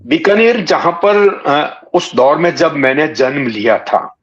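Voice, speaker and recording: A man in his sixties from Bikaner in Rajasthan, reminiscing in an interview with halting, deliberate phrasing. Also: a little high, lively intonation. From Bikaner, Rajasthan, India. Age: 60s. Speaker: interview guest, writer and satirist. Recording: dull, muffled lo-fi audio.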